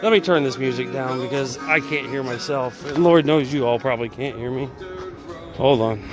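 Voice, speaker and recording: A middle-aged man rambling excitably and swearing casually during a live phone stream outdoors at a storage unit. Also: medium pitch, moderate pace, over music. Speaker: vlogger. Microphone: phone at arm's length.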